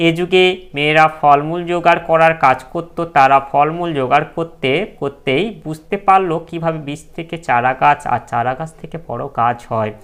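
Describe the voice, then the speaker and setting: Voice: mid-range voice, a bit bright, clean tone. A teacher reads exam questions and answers aloud as a lesson, instructing students directly.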